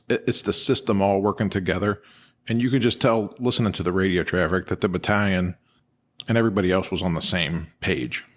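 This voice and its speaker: Low voiced guy cohosting a podcast, breaking stuff down in a casual explainer way. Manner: explanatory, informal. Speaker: podcast co-host. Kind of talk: conversational analysis of firefighter radio traffic.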